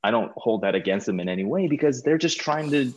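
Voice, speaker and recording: A middle-aged American man chatting casually as an interview guest over a video call, informal and halting, often repeating words. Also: mid-range voice, expressive, dull audio. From California, United States. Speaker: guest, stage performer. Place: small plain room indoors, video call.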